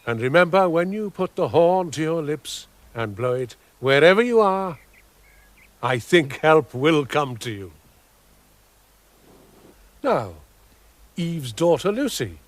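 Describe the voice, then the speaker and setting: A man's voice: on the high side, very animated, slow, pauses often. A man playing a grand, benevolent old Father Christmas in a radio drama, with a British accent and a solemn, declamatory manner. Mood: solemn kindness. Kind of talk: dramatic radio play acting.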